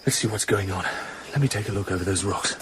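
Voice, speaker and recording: Low-voiced, battered male spy played by an actor, noisy surroundings. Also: fast, lightly acted. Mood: tense, urgent. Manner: terse, urgent, swearing. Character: tough, battered male British spy, grimly determined. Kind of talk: radio drama acting.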